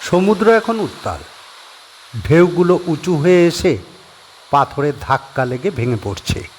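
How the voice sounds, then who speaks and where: A male narrator reading a scripted horror story in a steady, descriptive way. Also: fairly high pitch, a little slow, slight background noise.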